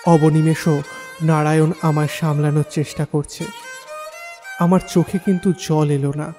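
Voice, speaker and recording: A man acts a troubled young man telling his eerie tale in the first person. His voice is a little high and mellow, delivered dramatically in a long reverb over a faint music bed. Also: flat delivery, normal speed, natural voice. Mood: stunned grief. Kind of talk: dramatic first-person horror story narration.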